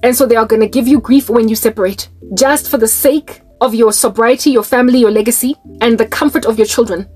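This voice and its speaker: A woman's voice: fairly high pitch, steady tone, brisk, hardly any pauses, darkish tone, husky. A South African woman in her late thirties, preaching to her viewers in an exhorting, rhetorical monologue. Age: 30s.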